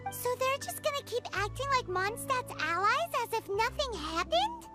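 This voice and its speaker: A woman voicing a tiny, cheeky, excitable childlike fairy companion in a video game, with a squeaky voice and a blunt, exclamatory manner. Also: moderate pace. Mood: curious, blunt. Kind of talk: scripted video game dialogue. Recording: background music under dialogue.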